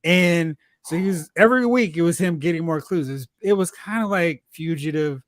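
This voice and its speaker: A man hosting a casual chat about TV and film, telling stories in an animated, exclamatory way. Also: a little high, fairly quick, no room sound, clean studio sound. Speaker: podcast host.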